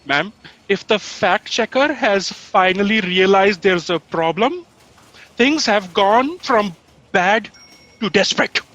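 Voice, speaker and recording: A woman playing an earnest, long-suffering copy aide in a comedic audio drama, deliberate and dry with an anxious urgency. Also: mid-range voice, lively intonation, fairly bright tone, highs cut off. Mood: exasperated, urgent.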